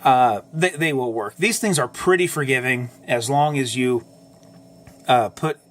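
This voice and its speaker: A man talks through his repair of an old tube radio at an easy pace, stopping often for ums and uhs and fixing his own words as he goes. His breathing has a slight wheeze from asthma. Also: medium pitch. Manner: halting, many ums and uhs, corrects himself. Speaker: amateur radio operator restoring an old radio. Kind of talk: casual how-to explainer.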